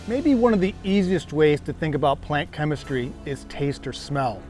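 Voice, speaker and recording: A man hosting a gardening show, giving steady, scripted, explanatory narration. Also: fairly high pitch, moderate pace, faint music behind.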